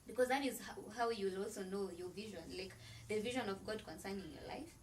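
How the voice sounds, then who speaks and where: An earnest woman speaks as a podcast guest, laying out her points one by one in a preacherly way, with plenty of "like" between her phrases. Her voice sits at an ordinary middle pitch. She is picked up from a little way off the mic, with a faint hiss of background noise behind her. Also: fairly quick, far from the mic, rough recording. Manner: loose filler-heavy phrasing with frequent "like". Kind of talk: faith-based motivational conversation.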